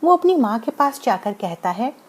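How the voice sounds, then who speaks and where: A woman's voice: fairly high pitch, very animated, normal speed, slightly rough. A woman narrating a scripted bedtime moral story as the storyteller.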